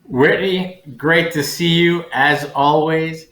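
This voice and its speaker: A man's voice: a little high, steady tone, slow pace, a bit bright. Man on a panel, echoey sound. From Colombia-born, raised in West Virginia, United States. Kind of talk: conversational panel remarks.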